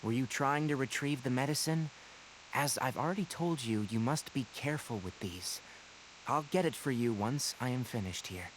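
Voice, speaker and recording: A man playing a brisk, dry-witted young forest ranger master in a video game, articulate, instructive and mildly exasperated. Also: medium pitch, slight background noise, lightly acted, rough recording.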